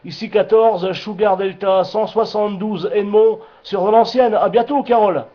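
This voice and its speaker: A high-pitched man talks casually over a dull two-way radio link. He rambles along as an amateur radio operator, circling back on his words and padding them with little tags. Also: fairly even intonation, normal speed, heavy background noise. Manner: rambling, repeats himself, frequent filler tags. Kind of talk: casual radio contact chat.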